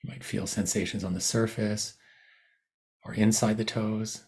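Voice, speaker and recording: A man guiding a body scan meditation in a soothing, unhurried manner, leaving long pauses between short phrases. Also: lowish voice, little pitch movement, darkish tone. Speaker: meditation guide. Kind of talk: guided body scan meditation, unscripted instruction.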